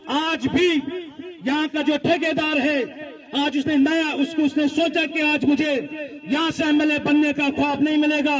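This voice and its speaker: A man gives a political speech at a public rally, speaking in emphatic, repetitive phrases. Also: very high-pitched. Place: public address before a gathering. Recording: dull lo-fi audio, crowd sounds.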